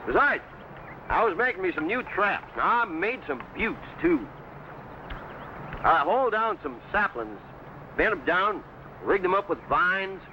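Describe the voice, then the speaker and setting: An actor playing a carefree, stubborn American frontier trapper with folksy backwoods speech, in a vintage adventure serial. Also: male voice, high-pitched, big pitch swings, unhurried, pauses often. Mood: scoffing. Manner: folksy backwoods drawl and dialect. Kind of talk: 1950s adventure serial acting. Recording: muffled, noisy old broadcast soundtrack.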